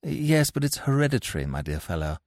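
A man playing a witty, idle young gentleman who speaks in a wry, languid manner. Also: mid-range voice, very animated, a little fast, dry recording, clean studio sound, voice pushed up.

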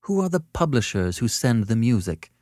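A man voicing a polite, quiet young woman with a restrained, questioning manner in an audiobook story. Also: mid-range voice, big pitch swings, normal speed, no echo, studio quality, close to an everyday voice. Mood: puzzled. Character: polite, subdued young woman answering the telephone.

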